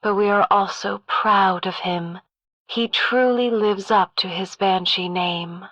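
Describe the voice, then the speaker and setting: A woman's voice: mid-range voice, fairly even intonation, takes it slowly, fairly bright tone, dry recording, muffled recording. A woman voicing a dignified, proud, solemn matron of an ancient people in a video game, stately and formal. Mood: solemn pride. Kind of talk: game character voice acting.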